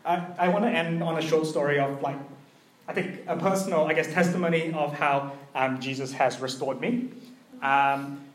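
A young man giving a conversational sermon, earnest and personal, with a gently hesitant delivery. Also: a little high, steady tone, moderate pace, reverberant. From New Zealand and Malaysia. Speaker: preacher.

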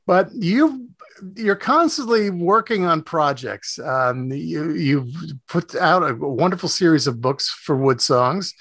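A man hosting a conversational radio interview, speaking in halting phrases. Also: fairly high pitch, fairly bright tone, no room sound, studio quality. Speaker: radio show host.

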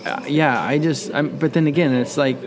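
A man talking as a guest in a casual interview, rambling and halting, with the occasional swear. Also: fairly high pitch, fairly even intonation, music in the background. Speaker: guest, surf photographer.

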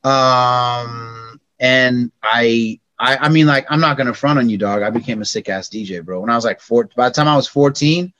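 A middle-aged man from California telling stories in a casual interview, slangy and animated, acting out quotes in a raised voice. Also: mid-range voice, moderate pace, dry recording, muffled recording. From California, United States. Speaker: podcast guest.

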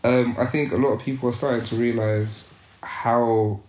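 A man's voice: medium pitch, fairly even intonation, moderate pace, a little mellow, echoey room, poor audio. A young man from the United Kingdom, a youth worker, talking reflectively as a podcast guest with many restarts and fillers. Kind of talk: casual interview conversation.

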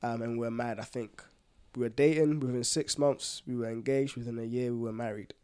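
A man with a London accent and a medium-pitched voice chats as a podcast co-host. His delivery is informal and full of slang, like someone telling personal stories to friends. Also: fairly even intonation, fairly quick, darkish tone, clean tone. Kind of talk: casual chat, personal anecdotes.

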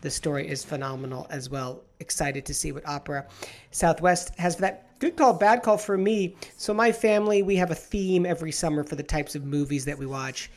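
A man hosting a talk show about opera, chatting in quick, animated bursts. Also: fairly high pitch. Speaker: host. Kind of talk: casual chat.

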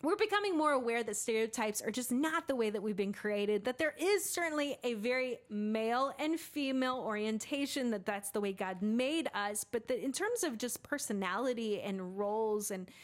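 A woman's voice: a little high, animated, normal speed, few pauses. A woman in her thirties co-hosting a casual faith podcast, laughing often and restarting her sentences. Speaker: podcast co-host.

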